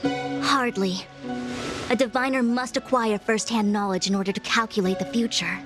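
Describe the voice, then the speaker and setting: A woman's voice: on the high side, natural voice. A woman playing a confident, shrewd military strategist and diviner in a game, formal and precise in her speech. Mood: assured. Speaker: game character voice actor. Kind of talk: scripted game dialogue. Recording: music behind the voice.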